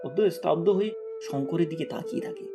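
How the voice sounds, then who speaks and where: A man with a fairly high voice reads scripted story narration. Soft music plays underneath as he tells the tale. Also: brisk.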